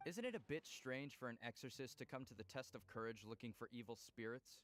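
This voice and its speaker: Game role, male actor: young boyfriend, everyday mid-pitched voice, conversational, a bit let down. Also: animated, a little fast, fairly bright tone, natural voice. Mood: puzzled, let down. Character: young man, boyfriend, casual and mildly disappointed. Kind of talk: anime-style game voice acting.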